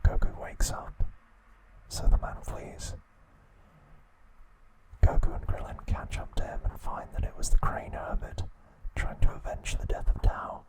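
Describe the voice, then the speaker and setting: Whispering male ASMR narrator. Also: poor audio. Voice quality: soft unvoiced whisper. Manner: measured, soothing bedtime delivery. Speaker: ASMR channel host.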